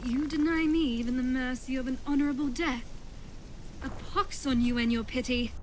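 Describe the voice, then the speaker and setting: A woman's voice: high-pitched, normal speed, slight background noise, lightly acted. A woman playing a proud, embittered young rebel in a fantasy fan dub, with impassioned, archaic oratorical delivery. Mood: cold, scornful.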